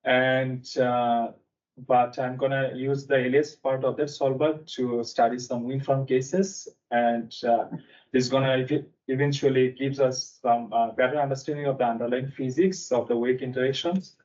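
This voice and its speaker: A young man from Bangladesh presenting a technical seminar in a conference room, talking haltingly with frequent fillers. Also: mid-range voice, fairly even intonation, a little mellow. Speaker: seminar speaker. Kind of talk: technical lecture. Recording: distant mic, dull sound.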